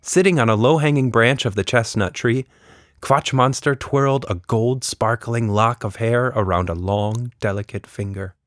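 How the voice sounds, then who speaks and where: A man's voice: mid-range voice, moderate pace, no echo, clean studio sound. A man narrating a scripted children's story expressively, as a podcast storyteller.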